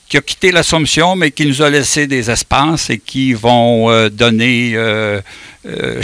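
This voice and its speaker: A man acting as master of ceremonies, giving a semi-formal introduction with halting, hesitant delivery. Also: medium pitch, pushed and bright, clear, resonant tone, no echo. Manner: halting, frequent long hesitations.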